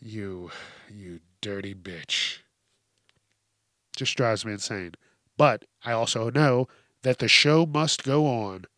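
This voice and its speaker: Man, lowish voice, stuffed-up nose, podcast host, blunt casual ranting, sweary, emphatic, dry close mic. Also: slow, long pauses, fairly bright tone, no room sound. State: congested from allergies. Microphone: close hypercardioid podcast microphone.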